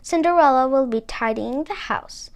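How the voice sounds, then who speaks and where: Selfish young fairy tale stepsister, high voice, scornful, excitable done by a female reader. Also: moderate pace. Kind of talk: storybook character voice.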